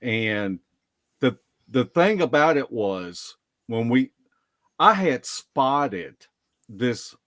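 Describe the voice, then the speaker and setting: A man telling field stories casually as a podcast guest, in a halting way with drawn-out pauses. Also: mid-range voice, very animated, fairly bright tone, no echo. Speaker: guest, Bigfoot field investigator. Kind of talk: casual storytelling in a live podcast interview.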